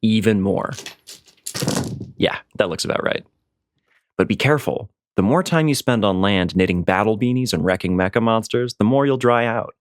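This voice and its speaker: Lower-pitched man, playful ad narration. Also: moderate pace, no room sound. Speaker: ad announcer. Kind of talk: scripted promotional narration.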